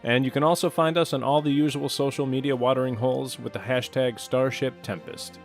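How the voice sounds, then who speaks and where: A man's voice: mid-range voice, steady tone, fairly quick, creaky. A man reading a scripted podcast outro as the show's announcer. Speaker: show announcer. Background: guitar music.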